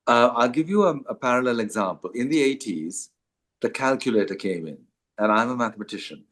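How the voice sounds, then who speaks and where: An older man who is an author, answering questions in a relaxed conversation and pausing often with fillers and restarts. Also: mid-range voice. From Sri Lanka. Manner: hesitant, many fillers and restarts.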